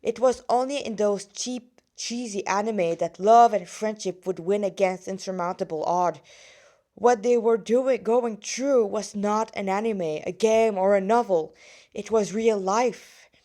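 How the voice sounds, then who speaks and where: Woman haltingly reading her own story aloud. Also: mid-range voice, dark tone. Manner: halting, stumbles and self-corrects. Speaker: fan fiction writer reading own story. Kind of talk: amateur read-aloud with casual asides.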